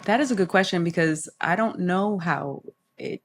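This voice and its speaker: A woman's voice: lowish voice, moderate pace. A young woman from Florida, a singer guest, talking in a chatty, rambling way and laughing often. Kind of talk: casual interview chat.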